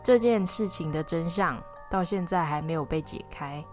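A woman's voice: lowish voice, moderate pace, over loud music. A woman reading a scripted story as a podcast narrator.

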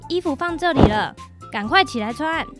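A woman playing a brisk, nagging but caring mother in a radio drama, with a Taiwanese Mandarin accent. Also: high-pitched, animated, soft background music. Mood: hurrying. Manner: bossy, coaxing.

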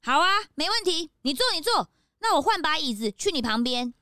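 Woman as a bright, high-voiced detective's assistant. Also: very animated, brisk, dry recording, clean studio sound, full character voice. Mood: agreeable. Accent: Taiwanese Mandarin. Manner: questioning, straightforward. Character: frank, helpful young detective's assistant Peanut. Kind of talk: children's detective radio play acting.

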